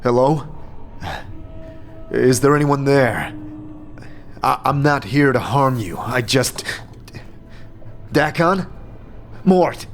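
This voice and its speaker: A man's voice: medium pitch, takes it slowly, pauses often, soft background music. A man playing a bewildered, lost wanderer in a fantasy audio drama, hesitant and stammering, with wry wariness. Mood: anxious, pleading.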